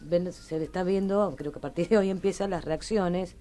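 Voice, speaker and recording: A woman's voice: fairly low pitch, moderate pace, dark tone. A woman with an Argentine Rioplatense accent hosting a radio show in a casual, chatty style. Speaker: radio host.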